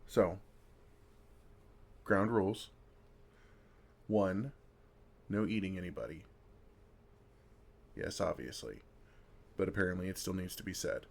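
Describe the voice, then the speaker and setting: A low-voiced, gravelly older vampire played by a man in an intimate ASMR roleplay. He is gentle and wry, talking with unhurried pauses and a dry, self-deprecating humor. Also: heavily acted. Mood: wry, protective. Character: kind, wry older male vampire comforting a fledgling.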